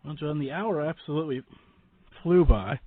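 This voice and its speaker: A male talk radio host with a fairly high voice, heard through a thin phone-like connection with some echo. He rambles informally and haltingly, as if chatting with listeners. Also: slightly rough. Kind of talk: casual paranormal talk radio hosting. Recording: boxy phone-like line with room echo.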